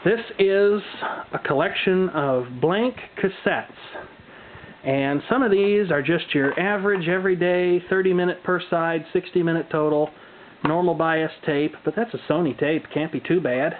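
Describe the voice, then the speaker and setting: A man with a slightly high voice talks off the cuff as he presents things to camera. His delivery is wry and folksy, with dry asides. The sound is narrow and phone-like, with some faint noise behind him. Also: normal speed. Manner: wry, dry humor, folksy turns of phrase. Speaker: hobbyist video presenter. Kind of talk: unscripted casual show-and-tell commentary. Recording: muffled phone-like audio with background noise.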